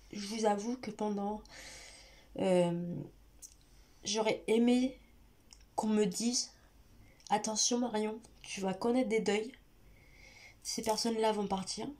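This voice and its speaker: A woman's voice: medium pitch, fairly even intonation, slow pace, long pauses, a little mellow. A young woman vlogging with a stuffy nose from a cold, talking hesitantly and directly to her viewers with many fillers and restarts. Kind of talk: personal confessional vlog talk.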